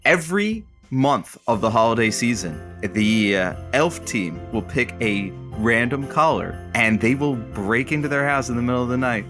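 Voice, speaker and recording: A man acting as a wry radio sidekick with a lively, swooping delivery. He is a showy, blunt male announcer from an audio drama, with music around his mid-pitched voice. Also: close to an everyday voice. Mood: showy, hyped.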